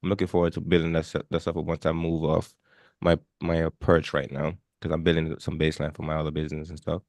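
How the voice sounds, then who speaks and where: Fast-talking man with a low, dry-miked voice. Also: monotone, a little mellow, dry recording. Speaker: podcast host. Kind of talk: casual podcast chat.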